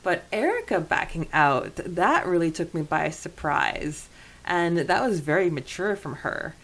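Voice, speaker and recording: A woman's voice: fairly low pitch, lively intonation, measured pace, vocal fry, fairly bright tone, rough recording. A woman co-hosting a podcast, talking in a chatty, informal way about a book. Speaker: podcast co-host. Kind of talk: casual book discussion chat.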